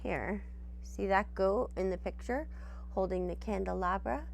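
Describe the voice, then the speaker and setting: A woman's voice: mid-range voice, measured pace, creaky, slight background noise. A woman teacher reading a storybook aloud and patiently explaining it in simple terms, often checking in with "right?".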